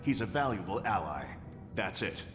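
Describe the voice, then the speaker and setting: Earnest hero captain, reverberant. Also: male voice, on the low side, very animated, moderate pace, music in the background. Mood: earnest, resolute. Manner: firm, earnest, commanding. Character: earnest, upright male superhero team captain. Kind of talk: video game hero acting.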